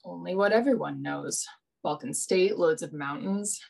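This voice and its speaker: A woman's voice: on the low side, normal speed, creaky, a little mellow. A woman playing a brash, wry young male adventurer in a radio play, with a dry, teasing manner. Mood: knowing.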